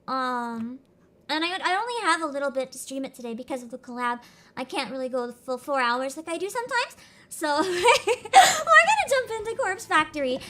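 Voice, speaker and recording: A woman's voice: high-pitched, big pitch swings, moderate pace, fairly bright tone. A woman streamer chatting casually and giggling with her viewers, her throat congested from screaming. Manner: chatty and giggly, talks to chat. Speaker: game streamer. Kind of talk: casual live-stream chat.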